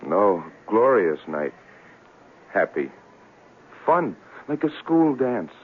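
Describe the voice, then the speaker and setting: A fragile, dreamy young artist in a vintage radio play. The delivery is earnest and childlike, swinging widely in pitch. The recording is dull and noisy. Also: male voice, very animated, takes it slowly. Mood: wistful, haunted. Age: young adult. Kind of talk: 1970s radio drama acting. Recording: lo-fi, noisy old radio broadcast.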